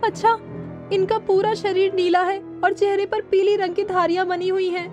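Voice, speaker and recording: A tiny, timid cartoon bird companion played by a woman with an extremely high voice. She sounds earnest and fretful, with faint music underneath. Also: level tone, moderate pace. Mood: worried.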